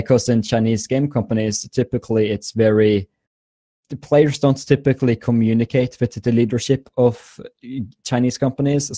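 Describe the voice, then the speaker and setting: A man's voice: lowish voice, dark tone, no room sound. A man in his twenties from Norway, a guest answering interview questions eagerly, often repeating words and laughing. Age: 20s. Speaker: guest YouTuber. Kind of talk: casual interview.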